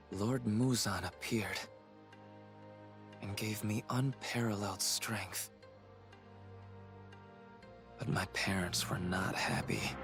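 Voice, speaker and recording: A man playing a cold, subdued boy demon in an anime game, narrating quietly and broodingly. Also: on the low side.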